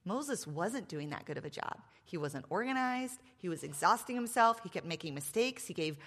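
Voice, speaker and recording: A woman's voice: fairly low pitch, expressive, normal speed, a bit bright. A woman in her fifties giving a faith talk to a live audience with a microphone, emphatic and playful, full of asides. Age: 50s. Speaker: speaker at a women's event. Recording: long reverb of a large hall.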